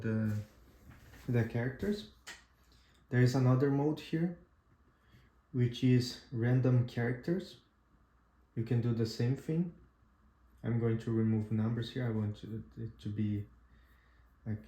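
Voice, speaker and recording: Halting man teaching, mellow, echoey room. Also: medium pitch. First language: Portuguese. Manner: halting, frequent "uh" and restarts, checks in with "right?". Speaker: amateur radio instructor. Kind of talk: casual screen-demo tutorial.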